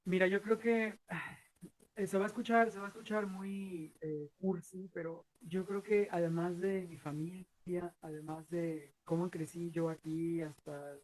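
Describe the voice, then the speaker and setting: A man's voice: high-pitched, fairly even intonation, measured pace, slight background noise, rough recording. Earnest Mexican man in his thirties. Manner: earnest, rambling with many fillers. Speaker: guest. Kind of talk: reflective interview answers.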